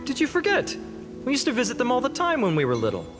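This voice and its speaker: An actor playing an earnest, boyish young hero in a video game, eager and expressive. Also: male voice, extremely high pitch, fast, full character voice. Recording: background music under the voice.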